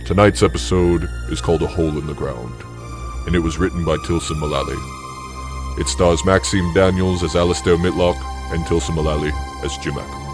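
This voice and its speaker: A man announcing a show in a formal, deliberate, theatrical scripted radio style. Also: sits low, fairly even intonation. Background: new-age music.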